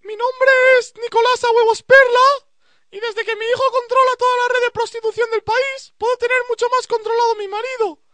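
A man putting on high, comic testimonial voices in a parody advertisement. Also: level tone, a little fast, pushed and bright, a little hiss or hum. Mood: mock testimonial. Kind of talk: mock ad voice-over with character testimonials.

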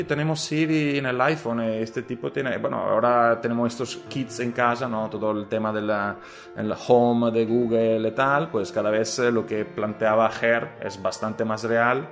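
A man from Italy speaking Spanish with an Italian accent, answering interview questions hesitantly with many fillers and restarts. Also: mid-range voice. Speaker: guest, social media scientist.

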